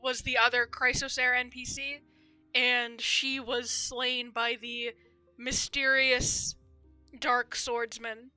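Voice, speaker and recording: Female streamer, crisp bright high voice, casual commentary, thinking aloud, faint game music. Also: no echo.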